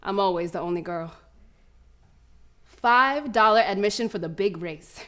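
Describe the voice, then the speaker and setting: A woman's voice: medium pitch, measured pace, fairly bright tone, close to an everyday voice. A woman playing a fierce, cocky preteen American girl runner in a live theatrical monologue, telling her story in brash, slangy street talk. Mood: animated, boastful. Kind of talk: first-person theatrical monologue performed live.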